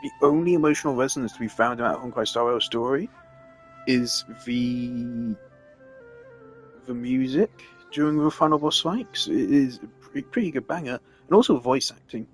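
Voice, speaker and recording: A man's voice: mid-range voice, moderate pace, faint music behind, poor audio. A man giving a casual, opinionated rant on a live stream, emphatic and exasperated in the way he talks.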